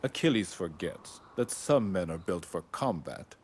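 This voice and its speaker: Game casting: self-important warlord king, fairly deep, commanding, noisy ambience. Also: expressive, unhurried. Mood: imperious. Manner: imperious. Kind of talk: video game cutscene acting.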